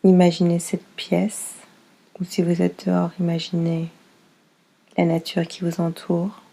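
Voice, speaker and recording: A woman leading a guided yoga nidra relaxation as instructor, soothing and unhurried, speaking in short phrases with pauses. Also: lowish voice, completely flat delivery, creaky, mellow, poor audio.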